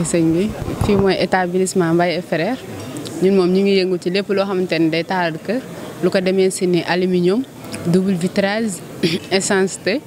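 A young woman with a Senegalese accent, answering interview questions as a company representative into a handheld mic, steady and salesy. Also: on the low side, noisy background. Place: exhibition stand indoors.

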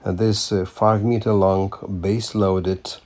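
Older man explaining haltingly. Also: lowish voice, level tone, darkish tone. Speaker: amateur radio operator presenting a field test. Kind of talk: casual demonstration vlog.

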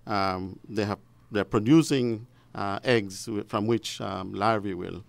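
A man giving formal, measured, halting explanations as an expert guest on radio. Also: fairly low pitch, gravelly. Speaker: fisheries officer, studio guest expert. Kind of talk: explanatory interview answers. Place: radio studio.

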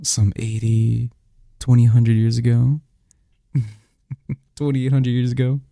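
A man's voice: mid-range voice, monotone, takes it slowly, creaky, warm tone, no room sound, studio quality. A man playing a snarky, cynical young research assistant in an audio drama, talking conversationally with a scoffing, pedantic manner and small stumbles. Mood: teasing, sarcastic.

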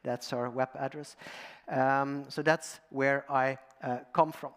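A man's voice: mid-range voice, fairly even intonation, takes it slowly, fairly bright tone. Man giving a conference talk. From Belgium. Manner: storytelling, audience-addressing, with fillers. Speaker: guest speaker. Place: large dark auditorium.

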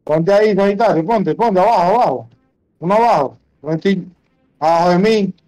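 A man's voice: high-pitched, moderate pace, dark tone, low-quality recording. A man with a Venezuelan accent chatting casually while gaming, giving slangy, repetitive instructions in a bossy way. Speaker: gamer livestreamer. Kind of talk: casual chat while playing an online game.

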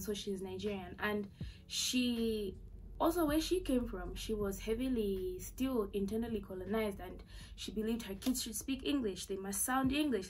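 A woman's voice: mid-range voice, rough recording. A young woman with a Zambian accent, telling a personal story to camera in a casual, candid way, full of fillers and asides, in a small bedroom. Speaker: vlogger. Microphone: camera in front at desk distance.

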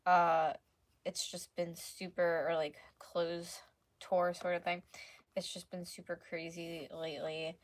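A woman in her twenties with a slightly hoarse voice, chatting casually as she livestreams crafts from home. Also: on the low side, flat delivery, slow. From North America. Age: 20s. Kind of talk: casual chat while painting. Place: small room at home, indoors. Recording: clearly noisy home livestream recording.

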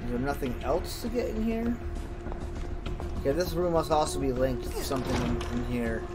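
A man of medium pitch narrates his gameplay casually, half talking to himself in folksy slang. Game music plays clearly behind his voice. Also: normal speed. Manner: talks to himself, mild swearing, folksy slang. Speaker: gamer, video host. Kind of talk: casual let's play commentary. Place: indoors, over game audio.

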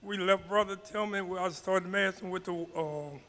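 A man in his nineties with a high, bright voice answers questions haltingly, often repeating his first words. He speaks into a handheld mic in a community hall, and his voice rings out with a long echo. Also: fairly even intonation. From New Orleans, Louisiana. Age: 90s. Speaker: panel guest, Mardi Gras Indian elder.

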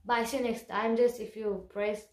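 A young woman from Romania with a Romanian accent, chatting to camera in a giggly, animated vlog. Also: fairly high pitch, moderate pace, roomy sound. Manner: bubbly, giggly, gestures a lot. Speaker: vlogger. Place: small room indoors.

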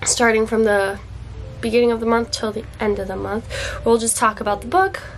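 A woman's voice: on the high side, brisk, soft background music. A young woman book vlogger chatting to camera in a dim indoor room, congested from a cold, gushing and chatty with fillers. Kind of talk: casual chat to camera.

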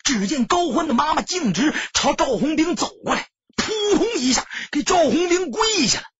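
A man narrating a crime story as an audiobook, in a theatrical, emphatic storytelling style with a husky, raspy voice. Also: very high voice, very animated, normal speed, fairly bright tone, lo-fi sound.

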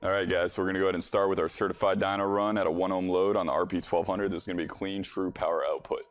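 Low-pitched American man presenting a product. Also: flat delivery, rapid-fire. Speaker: company CEO presenting a product. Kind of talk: casual product demo presentation. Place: indoor workshop, in front of a workbench.

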